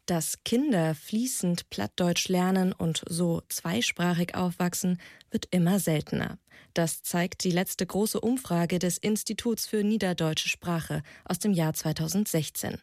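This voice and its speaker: A woman's voice: fairly low pitch, unhurried, darkish tone, dry recording, clean studio sound. A woman narrating a scripted radio report as a reporter.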